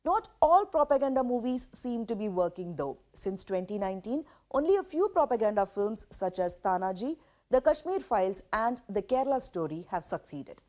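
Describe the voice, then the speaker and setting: A woman with an Indian accent reading a scripted news explainer in a measured, emphatic way. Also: mid-range voice, very animated, a little mellow.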